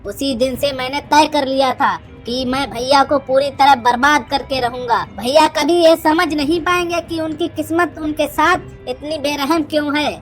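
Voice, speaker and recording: A woman's voice: high voice, fairly even intonation, normal speed, few pauses, soft background music, close to an everyday voice. A woman voicing an arrogant, spiteful, boastful village headman, a cunning younger brother in a Hindi cartoon story. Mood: angry, spiteful. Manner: boastful, scornful.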